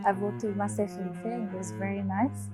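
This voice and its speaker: A woman in her twenties, born in Syria, a cellist and teacher speaking in a relaxed interview, with many pauses and fillers. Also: medium pitch. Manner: hesitant, many fillers, warm about music. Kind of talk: relaxed personal interview answers.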